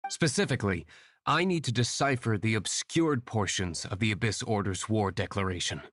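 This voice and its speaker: Cool aloof young guy, man voicing him, calm measured talk, keeps it low-key. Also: lightly acted. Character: calm, aloof young male winery owner and secret vigilante. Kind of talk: game voice acting.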